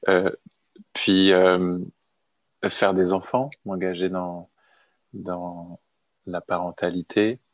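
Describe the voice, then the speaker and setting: A middle-aged man answering interview questions slowly and hesitantly, with touches of wry humor. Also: low-pitched, no echo. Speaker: guest.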